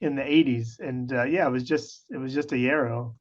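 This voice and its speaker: A man's voice: mid-range voice, a little fast, dull audio. A man in his forties from Pennsylvania, a guest on a radio show, explaining his ideas thoughtfully with hesitant restarts. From United States (Pennsylvania). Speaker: guest, researcher. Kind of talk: casual radio interview.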